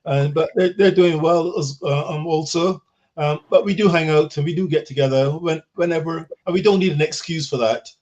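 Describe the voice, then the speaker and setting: A man from Barbados, living in England, chatting as a guest on a video call and often pausing with ums. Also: a little high, darkish tone. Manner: hesitant, many ums. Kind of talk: casual video call chat. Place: home in England. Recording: dull lo-fi video call audio.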